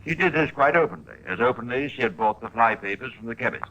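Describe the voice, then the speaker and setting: A man narrating a 1950s radio crime drama in a formal, deliberate, scripted style. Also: mid-range voice, animated, sharp tone, dull audio.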